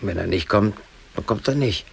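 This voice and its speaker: A man's voice: on the low side, fairly quick, gravelly, slightly rough, full character voice. An actor playing an aggrieved, defiant working man in his thirties, brooding aloud in colloquial speech in a radio drama. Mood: bitter, rueful. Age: 30s. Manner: colloquial, brooding, talking to himself. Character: aggrieved, defiant working man, hobby photographer, in police custody.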